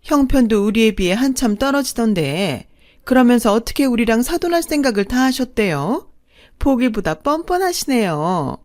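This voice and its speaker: A woman's voice: sing-song, moderate pace, a little mellow, dry recording. A woman voicing a haughty, spiteful middle-aged woman who mocks and coos in a dramatised family story. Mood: sneering, condescending. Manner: mocking, cooing at her man, shrill in outrage.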